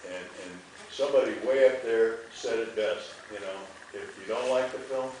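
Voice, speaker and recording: A man's voice: medium pitch, normal speed, darkish tone. A man from a film's cast making folksy, rambling, unscripted remarks to an audience. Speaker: film cast member in an audience Q&A. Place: movie theater stage Q&A. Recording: echoey theater, distant mic, faint noise.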